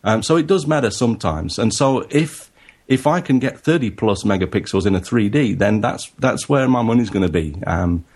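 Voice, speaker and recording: A man's voice: on the low side, lively intonation, moderate pace, a little mellow, breathy edge. A man, a photographer, talking casually and thoughtfully with fillers. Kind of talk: casual chat.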